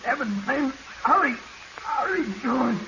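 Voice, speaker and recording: A man's voice: extremely high pitch, animated, a little slow, pushed and bright, heavily acted. A male actor playing a hot-tempered, resentful diver with an American accent and a curt, edgy manner, in 1940s radio drama style. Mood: panicked. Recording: muffled, noisy vintage radio recording.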